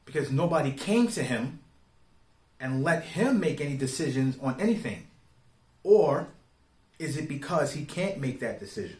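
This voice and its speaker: A man's voice, a little higher than average, rings in a clearly echoing room. He gives informal opinion commentary in street slang. His delivery is emphatic and deliberate, leaning on rhetorical questions to make his points. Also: very animated, darkish tone. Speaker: online commentator. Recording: roomy, echoing sound.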